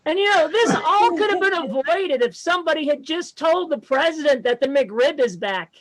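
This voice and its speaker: A man in his fifties with a strikingly high, light voice does a stand-up set over a video call. He delivers self-deprecating jokes in a dry, deadpan way. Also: moderate pace, heavy background noise. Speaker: comedian.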